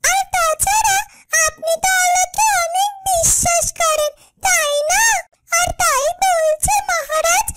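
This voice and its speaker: A woman's voice: extremely high pitch, steady tone, takes it slowly, full character voice. A woman voicing a small, loyal talking bird in a fairytale cartoon, pleading earnestly and respectfully. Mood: earnest, reassuring. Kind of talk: dubbed cartoon fairytale acting. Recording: loud music behind the voice. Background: music.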